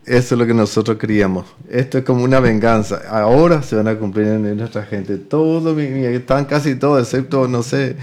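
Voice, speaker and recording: A man's voice: medium pitch, a little fast, few pauses, darkish tone. Conversational man hosting a chat. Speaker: radio or show host. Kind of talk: casual interview chat.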